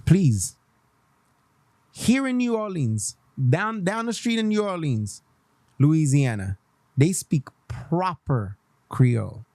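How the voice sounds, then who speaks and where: A man's voice: on the high side, very animated, takes it slowly, long pauses, a little mellow, no room sound. A young man from Haiti, hosting a radio show in a casual, passionate way, switching between English and Creole and repeating himself for emphasis. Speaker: radio host.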